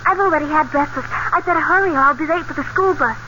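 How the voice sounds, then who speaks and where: A dull, faintly noisy old radio recording carries a woman playing a polite little schoolgirl. The voice is extremely high and earnest, in the style of vintage radio drama. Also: fast, natural voice. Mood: earnest, hopeful.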